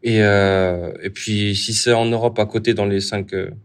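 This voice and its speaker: A man's voice: on the low side, clean tone. A young man, a footballer, answering interview questions casually with many restarts. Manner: relaxed, halting, many restarts. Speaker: footballer guest.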